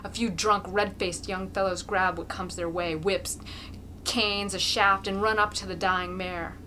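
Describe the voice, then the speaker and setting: A woman's voice: medium pitch, steady tone, measured pace, sharp tone, slight background noise. A woman amateur reader giving an intense, theatrical narration of a classic novel.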